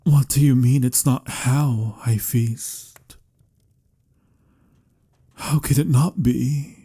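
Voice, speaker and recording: A man playing a melancholy, courtly vampire lover in a romantic ASMR audio roleplay, speaking softly in formal, old-fashioned, measured phrases with a breathy, husky voice. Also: medium pitch, steady tone, exaggerated voice. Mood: anguished, ashamed. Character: melancholy, courtly male vampire lover, patient but pained.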